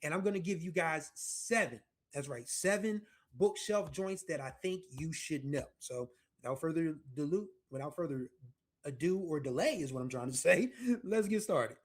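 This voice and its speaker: A man's voice: on the high side, animated, normal speed. Chatty American man hosting a music show. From United States. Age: 40s. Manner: chatty and rambling, with asides and self-corrections. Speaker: music podcast host. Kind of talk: casual music commentary.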